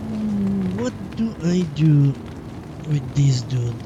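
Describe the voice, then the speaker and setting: A man's voice: fairly high pitch, lively intonation, slow, muffled recording, noisy background. A man doing casual live commentary on a game, musing and muttering to himself in short fragments as he thinks aloud. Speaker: live streamer playing a role-playing game.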